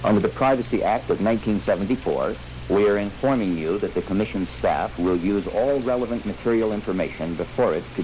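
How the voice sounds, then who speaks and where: A low-pitched man reads as an announcer of official alerts. His delivery is formal, solemn and measured, like a scripted bulletin. A faint hum runs behind the voice. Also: a little mellow, poor audio. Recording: voice recording with clear humming noise.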